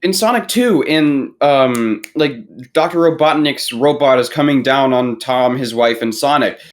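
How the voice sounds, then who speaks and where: A young adult man guesting on a casual podcast, talking in halting, repetitive, profane bursts. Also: mid-range voice.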